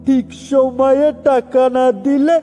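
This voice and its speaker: A man voicing a devoted young village boy in a cartoon, pleading in a plaintive, drawn-out way. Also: very high-pitched, level tone, mellow. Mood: earnest begging. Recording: long reverb with music behind.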